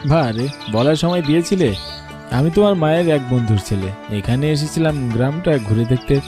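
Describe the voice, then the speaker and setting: A man narrating a cartoon and voicing a polite young village man, gentle in manner. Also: mid-range voice, animated, normal speed, music in the background, lightly acted.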